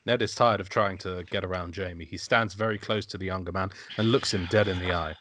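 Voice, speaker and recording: A man's voice: deep voice, steady tone, a little fast, a bit bright, slight background noise. A man narrating stage directions from a script at an amateur table read.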